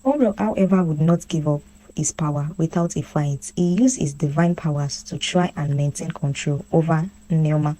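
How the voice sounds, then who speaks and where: Low-voiced woman narrating a folktale. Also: moderate pace, warm tone, rough recording. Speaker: storyteller.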